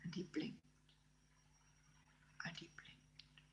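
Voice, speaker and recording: A woman improvising a bedtime story in a halting, childlike, theatrical way, with a breathy, husky voice that often repeats itself. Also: in a whisper. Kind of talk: improvised bedtime story, told in character as part of it.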